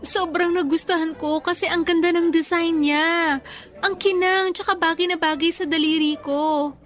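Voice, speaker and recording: A woman's voice: very high voice, steady tone, normal speed, fairly bright tone. An actress playing a sweet, devoted young girlfriend in a Tagalog radio romance, tender and effusive. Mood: tender, delighted. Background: faint music.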